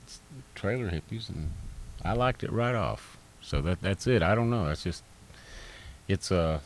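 Deep laid-back north georgia man, folk singer guest, slight background noise. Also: animated, measured pace. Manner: easygoing, drawling, trailing off. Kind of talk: casual interview chat.

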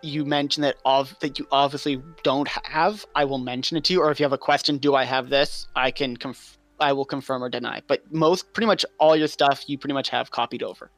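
A man running a tabletop game as game master, narrating scenes in a drawn-out way and laughing often with his players. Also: medium pitch, steady tone, fairly bright tone, no echo, soft background music.

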